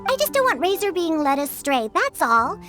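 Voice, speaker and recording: A woman's voice: very high voice, sing-song, normal speed, over music. A woman voicing a feisty, grumpy young cat-girl bartender with a scolding manner in a video game. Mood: grudging, defensive. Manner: indignant, scolding.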